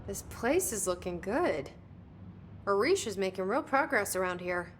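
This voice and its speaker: A woman's voice: mid-range voice, lively intonation, unhurried, slight background noise, natural voice. An actress playing a guarded, determined young woman in a video game, with naturalistic delivery. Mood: dry, reserved. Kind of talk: naturalistic game acting with inner monologue.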